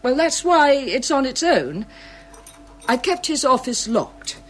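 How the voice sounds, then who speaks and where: A woman's voice: high voice, sing-song, slow pace, slight background noise, exaggerated voice. A woman playing a polite, guarded clerk at a wartime art store in a period audio drama, hesitant and evasive. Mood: polite, informative.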